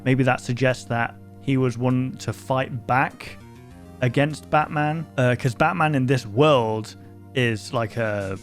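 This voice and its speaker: Male host, casual reaction talk, thinks out loud with lots of restarts; average pitch, soft music bed. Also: slow pace. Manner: thinks aloud, rambling with many fillers and restarts.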